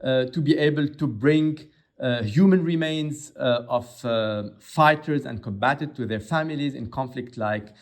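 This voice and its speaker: Guy from lebanon answering interview qs, lots of uhs, measured. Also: mid-range voice. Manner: hesitant, many filler sounds. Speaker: aid agency director.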